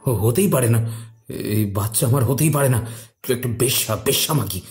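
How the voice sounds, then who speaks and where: Man acting a cruel, sharp-tongued domineering master. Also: medium pitch, a little fast, faint music behind, close to an everyday voice. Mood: furious denial. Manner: contemptuous, barking, demanding. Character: cruel, abusive, domineering male master berating his maid. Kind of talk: Bengali audio horror drama acting.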